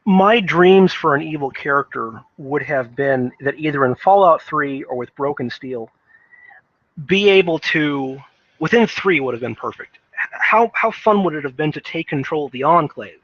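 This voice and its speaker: A man's voice: fairly high pitch, animated, normal speed, fairly bright tone, no echo, highs cut off. A man giving casual, unscripted video game commentary in a rambling, emphatic, earnest way, often stammering over repeated words.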